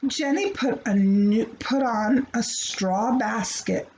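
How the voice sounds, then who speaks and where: A woman reading a picture book aloud to children in an expressive, playful way, with asides to her young listeners. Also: fairly high pitch, slow, highs cut off, slight background noise. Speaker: children's storybook reader.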